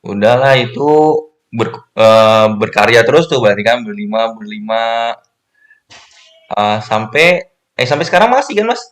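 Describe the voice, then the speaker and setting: A man's voice: mid-range voice, expressive, a little slow. A man hosting a casual interview, friendly and curious, echoing and summing up his guest's answers. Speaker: interviewer, host.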